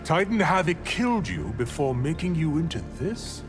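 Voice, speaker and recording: Sly sorcerer, swooping pitch. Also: male voice, sing-song, moderate pace. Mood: sly bargaining. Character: slippery, scheming male demon sorcerer, haggling. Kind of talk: video game voice acting. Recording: music playing behind.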